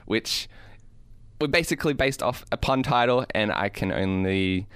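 Male co-host, low register with some fry, casual comic banter. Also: lively intonation, moderate pace, fairly bright tone, no room sound, clean studio sound. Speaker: show co-host. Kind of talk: casual comedy show banter.

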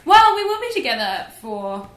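A woman's voice: high-pitched, big pitch swings, normal speed, fairly bright tone. A young woman co-hosting a podcast, chatting casually with playful teasing and frequent giggles. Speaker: podcast co-host. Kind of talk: casual comedic chat. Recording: echoey room.

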